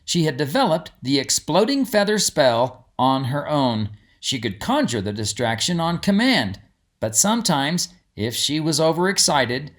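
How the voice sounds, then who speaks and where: A man's voice: fairly high pitch, fairly bright tone. A man who is an author reads his storybook aloud as scripted narration.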